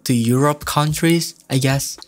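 A man's voice: medium pitch, measured pace. A young man chatting shyly and hesitantly on a video call from a small home studio, speaking into a close desk mic. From Indonesia. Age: young adult. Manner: soft-spoken, bashful, hesitant. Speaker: singer and video chat host. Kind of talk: casual video chat between songs. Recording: guitar music in the background.

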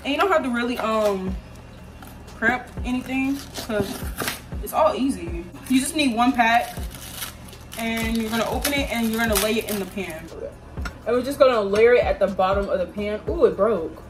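Distant, high-voiced young American woman. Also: moderate pace. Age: young adult. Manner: slangy, playful, talking to viewers. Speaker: home cooking vlogger. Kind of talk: casual cooking vlog chat. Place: small home kitchen, indoors. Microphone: phone or camera propped at a distance. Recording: distant mic, noisy home kitchen ambience.

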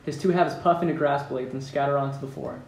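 A man's voice: medium pitch, fast, a little mellow. A man reading stage directions aloud at an amateur script table read in a room at home, in a plain manner with some amusement. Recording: roomy, distant mic with background noise.

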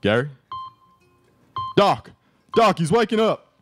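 A man's voice: sits high, big pitch swings, takes it slowly, music in the background, heavily acted. A young man playing an earnest, reassuring brother in a radio theatre trailer parody. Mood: urgent. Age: young adult. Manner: earnest, dramatic. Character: reassuring brother at hospital bedside, secretly controlling. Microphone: microphone on stand.

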